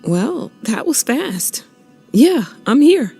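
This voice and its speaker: An actress playing a weary, sardonic middle-aged woman writer in a video game, with a husky, breathy voice and a dry manner. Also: sits high, very animated, slow, mellow, faint music behind, full character voice. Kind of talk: naturalistic video game acting.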